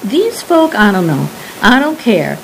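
A woman's voice: mid-range voice, big pitch swings, unhurried, creaky, slight background noise, rough recording. A woman voicing an old, superstitious Cajun swamp recluse man with a Cajun accent, speaking in broken dialect. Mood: solemn warning. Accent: Cajun English, put on. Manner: broken dialect, pleading warnings.

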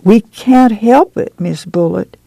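Lady reader as a meek flustered farm mom, whiny and pleading, pitched up, folksy dialect, creaky. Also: unhurried, warm tone, no echo. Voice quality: whining, bleating. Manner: apologetic, timid folksy rural dialect. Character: meek, flustered poor rural mother, ashamed and timid.

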